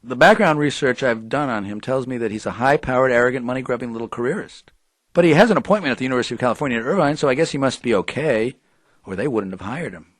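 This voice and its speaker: A man's voice: mid-range voice, animated, fast talker, dry recording, studio quality, lightly acted. An actor playing a skeptical, probing, dryly sarcastic male reporter in an audio drama. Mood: sardonic.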